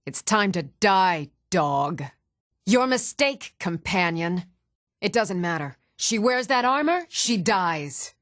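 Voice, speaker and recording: An actress voicing an even-toned young Nordic townswoman and warrior in a fantasy video game, measured and clearly spoken. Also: medium pitch, no room sound, clean studio sound. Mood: stern, threatening. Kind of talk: scripted video game dialogue.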